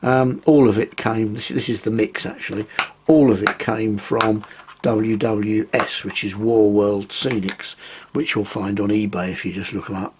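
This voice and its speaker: A man with a croaky voice after a cold, casually explaining his model railway work, pausing often with ums. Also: on the low side, steady tone, background noise. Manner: rambling, hesitant, many ums. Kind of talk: casual hobby tutorial.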